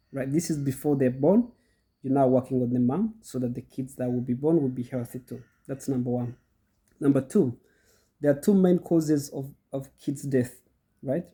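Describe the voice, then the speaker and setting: Man, middle-aged, goat farmer with a cold, husky, chatty how-to talk, repeats himself. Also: mid-range voice, warm tone. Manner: chatty, repeats himself, addresses viewers directly. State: congested from a cold. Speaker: goat farmer and channel host. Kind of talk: casual instructional talk to viewers.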